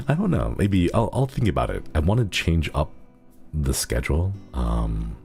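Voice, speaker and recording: A man's voice: extremely low pitch, vocal fry, a little mellow. A man chatting casually on a livestream, reading viewer messages and rambling with frequent giggles. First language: Xenokuni (in-fiction language). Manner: rambling and easygoing, giggles often.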